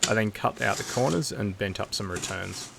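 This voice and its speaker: Man, low pitch, fry in the voice, matter-of-fact how-to narration, slight background noise. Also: moderate pace. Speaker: DIY video narrator. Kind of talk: scripted how-to voice-over.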